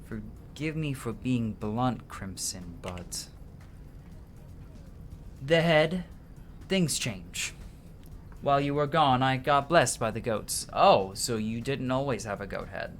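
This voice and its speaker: A man's voice: mid-range voice, takes it slowly, pauses often, a bit bright, faint music behind. A man doing casual let's-play commentary, informal and sweary, reading game dialogue aloud as he plays. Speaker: game streamer.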